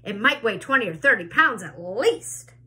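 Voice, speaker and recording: A woman voicing a mean, bossy middle-aged aunt who shouts harshly in a storybook reading. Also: fairly high pitch, very animated, normal speed. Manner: harsh, yelling.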